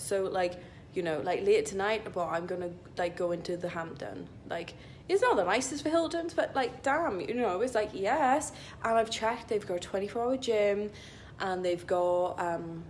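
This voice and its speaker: A woman's voice: medium pitch, a little mellow, a little hiss or hum. A young woman talking in a casual, chatty, rambling vlog style into a phone held at arm's length, peppering her speech with "like". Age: young adult. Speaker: vlogger, IT consultant. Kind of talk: casual vlog chat to camera. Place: indoor gym locker room.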